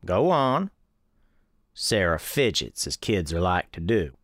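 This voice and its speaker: A woman reads a scripted tale in the first person. Her voice is exceptionally low and moves expressively, with homespun, folksy phrasing. The sound is dry, with no room around it. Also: expressive, normal speed, no echo. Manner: homespun Southern storyteller phrasing. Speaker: narrator.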